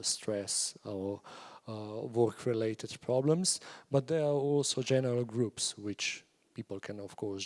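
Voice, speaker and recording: A man presenting at a meetup, answering audience questions in a halting way with many fillers. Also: on the low side, darkish tone. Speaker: presenter on stress and psychotherapy.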